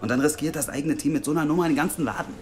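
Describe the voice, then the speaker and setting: An actor playing a blunt team boss who scolds a younger colleague. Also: male voice, a little high, rapid-fire, close to an everyday voice. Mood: irritated. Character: older man Gerrit, blunt team boss. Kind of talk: audio drama acting.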